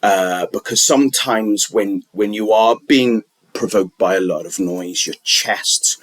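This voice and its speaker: A man talking unscripted, giving wellness advice in a halting, rambling way with many restarts and fillers. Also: lowish voice, no room sound.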